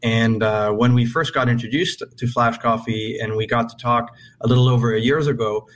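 A man's voice: mid-range voice, fairly even intonation, normal speed, a bit bright. A man speaking conversationally as a guest investor in an interview.

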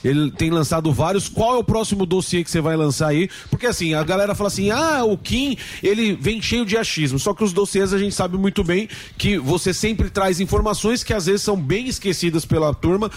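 A man's voice: high voice, brisk, a little hiss or hum. A man on a political panel who introduces the guest in friendly terms and asks about his next dossier. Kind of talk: casual political panel talk.

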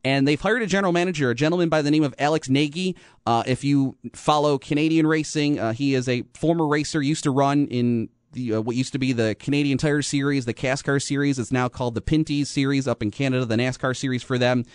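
An American man hosting a motorsport radio show, talking informally and haltingly, often rephrasing mid-sentence. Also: medium pitch, fairly even intonation, smooth, no room sound, clean studio sound. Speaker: radio host. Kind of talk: motorsport talk radio monologue.

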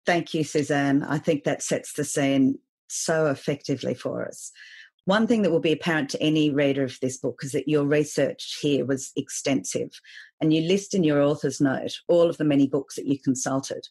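Husky-voiced woman interviewer. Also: low voice, darkish tone, dry recording. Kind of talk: interview questions.

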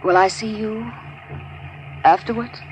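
A woman's voice: mid-range voice, steady tone, measured pace, lightly acted. An actress playing an earnest, ambitious young woman lawyer in a 1940s radio crime drama, insistent and wary. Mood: softened, intrigued. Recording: slightly noisy 1940s radio recording.

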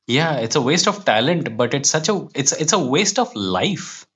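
A man's voice: medium pitch, sing-song, normal speed, a bit bright, husky. A man with an Indian accent talking conversationally as a podcast guest, reflective and opinionated as he lays out his points. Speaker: guest, comic book writer.

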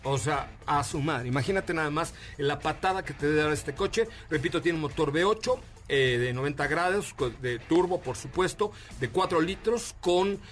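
A man's voice: a little high, animated, moderate pace, a bit bright, soft background music. A man with a Mexican Spanish accent hosting a radio car show, talking enthusiastically with jokes, slang and lots of fillers. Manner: enthusiastic, jokey, slang-heavy, many fillers. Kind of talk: casual radio chat.

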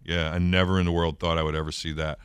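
A man co-hosting a morning radio show, in an easy, reflective conversational way. His voice sits very low and sounds smooth and resonant. The studio sound is dry and close. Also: little pitch movement, fast, fairly bright tone, no room sound. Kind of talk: casual radio chat.

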